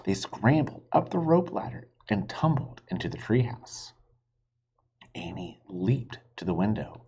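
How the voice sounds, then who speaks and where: Breathy, animated man reading a storybook. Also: on the low side, a little slow, dull audio. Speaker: reader, teacher. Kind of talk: expressive children's storybook read-aloud.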